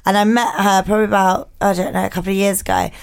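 A woman's voice: mid-range voice, little pitch movement, fast, gravelly. Frank teasing woman losing her voice. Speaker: podcast co-host. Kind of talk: casual chat.

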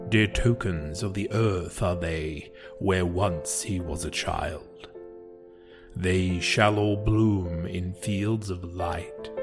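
A man reading poetry in a solemn, measured and deliberate way, as a scripted recitation. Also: low-pitched. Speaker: poetry podcast reader. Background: music.

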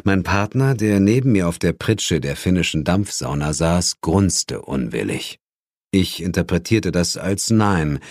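Low-voiced man narrating a wry audiobook. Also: darkish tone, dry recording. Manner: wry, dry irony. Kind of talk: dramatic first-person audiobook narration.

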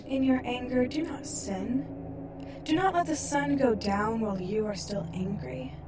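Scripture reciter, played by a woman: solemn, highish voice, far off under loud noise. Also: measured pace, lightly acted.

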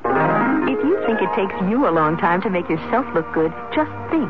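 High-voiced man over loud music. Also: big pitch swings, normal speed. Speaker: public service announcer. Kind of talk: scripted public service announcement. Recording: muffled radio broadcast, loud music.

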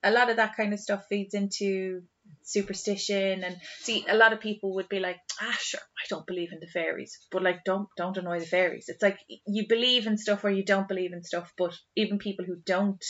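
Irish female podcast co-host, conversational, acts out little asides, medium pitch, dull lo-fi sound. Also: fairly even intonation, brisk, a bit bright. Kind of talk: casual chat. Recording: dull lo-fi recording.

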